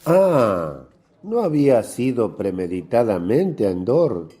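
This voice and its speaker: Male Pharisee, radio drama: probing, insinuating, very animated intonation, dark low tone. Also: slow pace, husky, heavily acted. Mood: suspicious, probing. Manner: questioning, insinuating. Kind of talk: religious radio drama acting.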